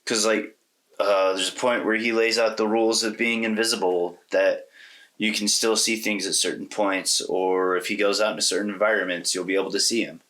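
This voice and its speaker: A man's voice: low-pitched, pushed and bright, rough recording. A man co-hosting a podcast, casually discussing a film and thinking aloud in a loose, rambling way. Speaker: podcast co-host.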